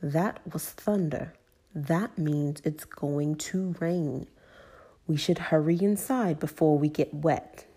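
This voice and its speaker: A woman reads as a kindly old grandfather pig in a children's storybook. The delivery is slow and measured, explaining simply in a mellow tone. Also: low voice, husky, exaggerated voice. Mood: concerned.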